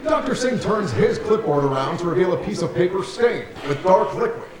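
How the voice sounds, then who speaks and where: A man's voice: high voice, very animated, fairly quick, a loud crowd. Male stage-directions reader, scripted narration, distant and reverberant, audience audible. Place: theatre stage. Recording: echoey theatre, distant mic, audience sounds.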